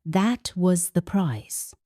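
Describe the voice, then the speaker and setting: A woman's voice: on the low side, animated, extremely slow, warm tone, no echo, studio quality. Nigerian lady doing a grim old village elder from a folktale, bitter n accusing, takes his time. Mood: grave. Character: resentful elderly village man, stern and grim.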